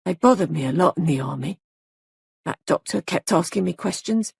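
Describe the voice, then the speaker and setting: A mad young killer whose voice turns childlike, menacing and giddy by turns, as a woman reads him. The tone is gravelly and husky, close and dry. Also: lowish voice, lively intonation, moderate pace, a little mellow, no room sound, full character voice. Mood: childishly vengeful.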